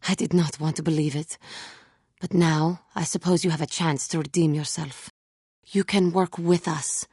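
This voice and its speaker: A woman playing a proud, tormented fallen warrior seeking redemption in a video game, speaking in formal, solemn and deliberate phrases. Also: lowish voice, darkish tone, breathy edge, dry recording, studio quality. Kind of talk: dramatic video game character dialogue.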